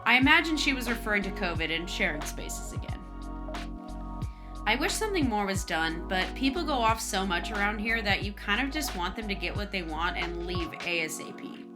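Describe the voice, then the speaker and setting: A woman's voice: mid-range voice, expressive, moderate pace. A woman hosting and narrating scripted stories with her own commentary. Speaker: narrator and host. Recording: music under the voice.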